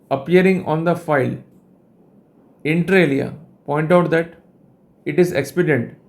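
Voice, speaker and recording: A man with an Indian accent and a slightly high voice delivers a legal lecture. His phrasing is formal and wordy, and he explains things like a teacher setting out rules. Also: a little mellow. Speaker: legal educator and host.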